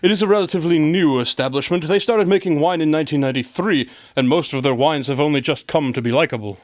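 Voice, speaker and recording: An actor playing an aloof, methodical detective in a radio drama, with a dry, pompous, clipped manner. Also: male voice, on the high side, fast, no echo, natural voice. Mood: dry deduction. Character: aloof, methodical male detective in the Sherlock Holmes mould.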